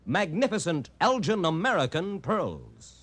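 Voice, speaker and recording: A man announces a vintage radio advertisement in a fairly high, animated voice. His delivery is polished and emphatic, every phrase pitched like a salesman of the 1940s. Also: a little slow, over loud music.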